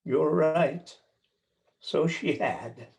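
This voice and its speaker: A man's voice: fairly high pitch, drawn-out words, gravelly, a little mellow, full character voice. A man playing an old miser emotionally revisiting his past, exclaiming as he goes, in a radio play. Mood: wistful.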